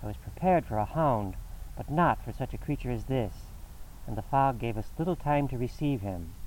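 A man reading an audiobook voices a cool, incisive detective in a crisp, measured manner. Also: medium pitch, animated, a little mellow, distant mic, a little hiss or hum, natural voice, rough recording.

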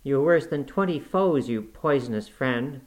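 A man's voice: mid-range voice, animated, moderate pace, lightly acted. Impassioned, petulant young lady read by a man. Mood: indignant. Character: infatuated, indignant young woman, passionate and naive.

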